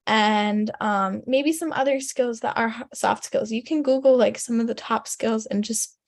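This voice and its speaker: A woman's voice: fairly high pitch, normal speed, dry recording, clean studio sound. Chatty woman, native German speaker. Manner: chatty, lots of fillers, frequent throat clearing. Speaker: career coach presenting a resume webinar. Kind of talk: casual webinar presentation.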